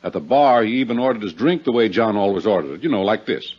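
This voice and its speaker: Uneasy, earnest gentleman witness, voice swinging up and down, defensive, muffled vintage radio drama recording. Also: lowish voice, lively intonation, quick pace, husky. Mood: earnest, unsettled. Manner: earnest, formal, touchy. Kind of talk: 1950s radio drama acting.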